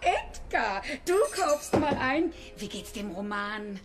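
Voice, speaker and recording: A woman's voice: medium pitch, very animated, slow pace, music in the background. An actress playing a chirpy, nosy village saleswoman with a gushing, singsong manner in a radio play. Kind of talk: German radio play acting.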